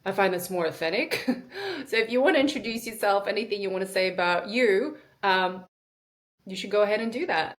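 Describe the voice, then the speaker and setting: A woman's voice: mid-range voice, animated, brisk. A woman in her thirties hosting a podcast interview, chatty and laughing often, cutting in on her guest. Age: 30s. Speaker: podcast host. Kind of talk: casual interview chat.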